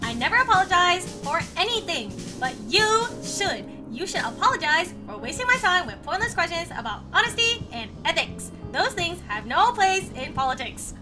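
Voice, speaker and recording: A woman voicing an arrogant, insulting male cat politician from a story, with pompous, drawn-out boasting. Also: very high voice, lively intonation. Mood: dismissive. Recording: music behind the voice.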